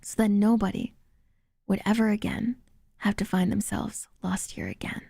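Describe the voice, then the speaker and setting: A woman voicing a traumatized, bitter teenage boy narrating a horror visual novel in a breathy, halting, haunted way. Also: mid-range voice, big pitch swings, dark tone, no room sound, clean studio sound, exaggerated voice. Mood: bitter, resigned. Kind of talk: dramatic visual novel readalong.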